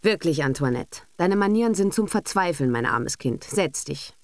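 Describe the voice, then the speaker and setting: A woman's voice: on the low side, animated, normal speed, a little mellow, dry recording. A woman voicing a haughty, irritable bourgeois mother in an audiobook, speaking sternly and sharply with sarcasm. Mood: sharp, exasperated. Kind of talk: audiobook character acting.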